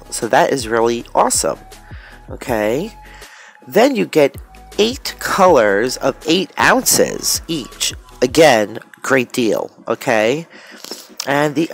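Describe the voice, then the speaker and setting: A woman with a congested, nasal voice from a cold, chatting informally and a little ramblingly as she presents a hands-on craft unboxing. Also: rumbling low. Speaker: craft vlogger. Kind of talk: casual hands-on unboxing chat. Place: indoors at a craft table.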